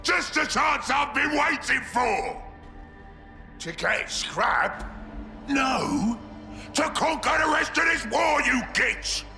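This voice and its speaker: An actor playing a cunning, brash ork warboss who shouts and schemes in a gravelly voice. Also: male voice, very high-pitched, animated, takes it slowly, echoey room, soft background music, exaggerated voice. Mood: bellowing.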